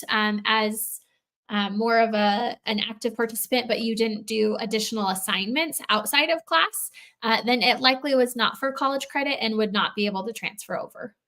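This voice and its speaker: Brief: woman, fairly high voice, guest on a livestream Q&A, giving conversational explanations. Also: a bit bright. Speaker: guest answering questions on a livestream.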